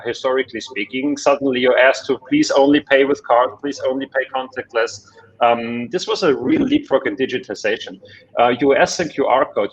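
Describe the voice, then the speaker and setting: A man with a German accent on an online panel, talking in halting phrases full of restarts and tag questions. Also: mid-range voice, muffled recording. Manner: hesitant, many restarts and "right?" tags. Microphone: video call.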